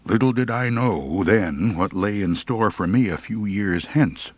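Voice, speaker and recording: An American man narrating a humorous first-person story in an expressive, folksy way. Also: fairly low pitch, a little slow, dry recording. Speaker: audiobook narrator.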